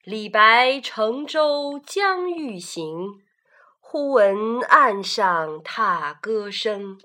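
A woman voicing a jovial, carefree male ancient poet in a children's radio drama, hearty and declamatory, reciting slowly. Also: high-pitched, sharp tone, voice pushed up, full character voice.

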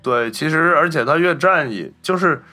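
A man's voice: a little high, expressive, normal speed, pushed and bright, faint music behind. A man hosting a casual film discussion, telling anecdotes in an explanatory, conversational way. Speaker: podcast host.

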